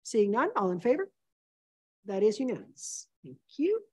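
A woman serving as mayor, chairing a formal council meeting with brisk procedural phrasing, heard from a distance in a large chamber. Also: mid-range voice, big pitch swings, dark tone, slightly rough.

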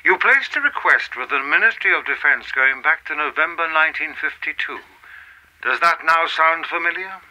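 An actor playing a mysterious, coolly menacing official in a radio play, with a formal, measured, insinuating manner. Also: male voice, on the high side, lively intonation, bright, forceful tone, dull audio. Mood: knowing, condescending.

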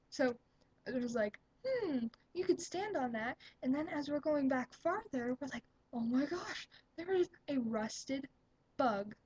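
Chatty young woman with a breathy voice. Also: on the high side, darkish tone, no echo, low-quality recording. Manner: rambling, upbeat, lots of fillers and giggles. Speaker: vlogger. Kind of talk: casual webcam chat. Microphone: webcam.

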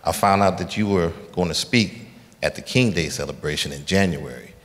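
Man from Illinois, extremely low voice, deliberate, persistent, audience question, reverberant hall. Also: a bit bright. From Illinois, United States. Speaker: activist asking a question from the audience. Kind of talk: prepared public question with preamble.

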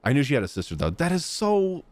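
Animated male streamer, very lively pitch. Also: fast, no echo. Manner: animated, slangy, expressive. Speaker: live streamer. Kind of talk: casual game reaction commentary. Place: indoor streaming room at home. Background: game music.

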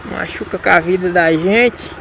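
A young Brazilian man with a Minas Gerais accent chats in slang from his motorcycle, his voice sitting high with a gravelly fry on it. He curses at drivers. Road and engine noise roar loudly around a helmet-mounted mic. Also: moderate pace. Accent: Brazilian Portuguese, Minas Gerais. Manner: slangy, swears at drivers, irritated outbursts. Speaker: motovlogger. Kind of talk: casual running commentary while riding. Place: city streets outdoors on a motorcycle. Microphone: helmet or action camera mic.

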